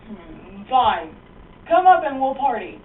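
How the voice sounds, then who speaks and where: A pompous, short-tempered Aztec king from a homemade puppet comedy, acted out by a woman. The voice is high and declaims grandly and without hurry. It comes through thin and distant, with noise in the room. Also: very animated, takes it slowly. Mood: annoyed. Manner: imperious declaiming, comic slangy asides. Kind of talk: amateur comic puppet show. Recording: tinny distant lo-fi mic, noisy room.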